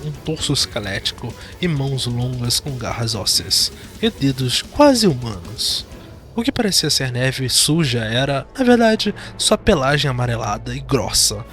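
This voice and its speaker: A man's voice: mid-range voice, fairly bright tone. A man with a Brazilian accent giving dramatic scripted narration for a horror fantasy audio drama. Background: music.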